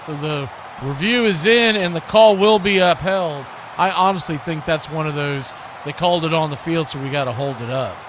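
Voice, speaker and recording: A high-pitched American male voice delivers live football play-by-play. He moves between short, clipped present-tense calls and conversational asides. A clear layer of background noise sits behind the voice throughout. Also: moderate pace. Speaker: play-by-play sports commentator.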